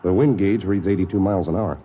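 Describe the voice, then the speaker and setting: An actor in a 1950s radio drama playing a cautious, principled anthropologist, earnest and reasonable. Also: male voice, low-pitched, fast, a little hiss or hum, close to an everyday voice. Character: cautious, principled male anthropologist on alien outpost. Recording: muffled old radio recording.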